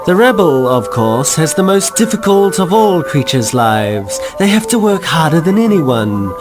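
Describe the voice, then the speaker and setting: A man giving wry, measured scripted narration for an audio drama. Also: a little high, sing-song, music in the background.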